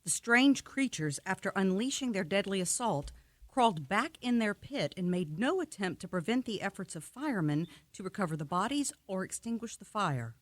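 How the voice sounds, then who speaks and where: A woman playing an earnest, formal news announcer in a radio play, reading bulletins in a measured way. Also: medium pitch, sing-song, no room sound. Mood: grave, composed. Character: earnest, composed female radio news announcer covering a catastrophe.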